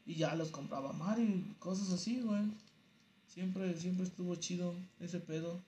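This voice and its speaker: High-pitched Mexican male co-host, laid-back and slangy, agreeing often. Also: normal speed, dark tone, a little hiss or hum. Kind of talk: casual chat.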